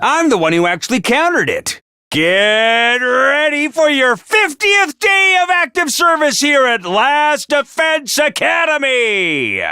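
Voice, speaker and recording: A man's voice: extremely high pitch, sharp tone, dry recording, studio quality, full character voice. A male actor playing a bombastic, theatrical little mascot commander in a video game, swinging between chirpy and sly. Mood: urgent, then bombastic shouting. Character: bombastic, theatrical small robot-mascot commander, cheery yet menacing. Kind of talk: animated video game voice acting.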